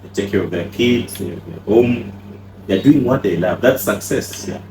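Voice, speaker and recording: A man from Uganda, a pharmacist and company founder, answering interview questions in a calm, measured way. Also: fairly low pitch. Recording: echoey room, noisy background.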